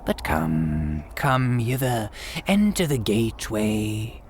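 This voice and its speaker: A man's voice: on the low side, very animated, slow, slight background noise. A man playing a sinister old priest who hosts gothic horror tales, speaking in formal, archaic phrases with an ominous, theatrical delivery that dips into whispers. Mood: eerie warning. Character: sinister old graveyard priest, courtly, eerie host. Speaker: horror tale host. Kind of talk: theatrical gothic radio drama monologue.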